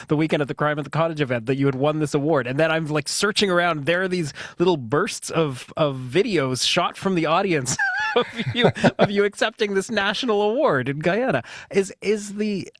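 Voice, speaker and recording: A man's voice: on the high side, dry recording, studio quality. A man hosting a radio interview, enthusiastic and often restarting his words as he asks questions. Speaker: radio host. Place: radio studio.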